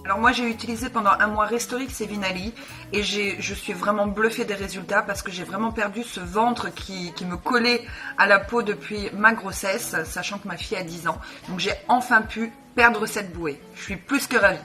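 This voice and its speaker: 30s woman, super pleased, chatty, music clearly audible behind. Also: medium pitch, steady tone, moderate pace. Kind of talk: testimonial.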